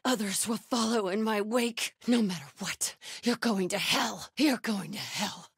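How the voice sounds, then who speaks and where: A woman's voice: mid-range voice, measured pace, gravelly, slightly rough, no room sound, clean studio sound, full character voice. A woman playing a fierce, devout cult fighter in a video game, delivering her lines with fanatical conviction. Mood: defiant, bitter. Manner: urgent shouted battle calls, hushed prayerful murmurs.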